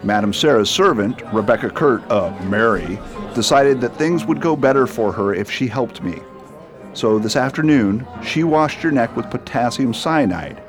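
A man's voice: mid-range voice, animated, normal speed, music in the background, lightly acted. A man playing an earnest, indignant police toxicologist in a radio drama, explaining and reassuring.

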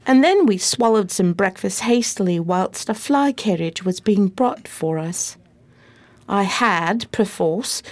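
An earnest, wide-eyed female sidekick, played by a woman in a period detective radio play. Her pitch swings freely as she narrates formally, and the sound is close and dry. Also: dry recording, lightly acted. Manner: expressive, formal storytelling.

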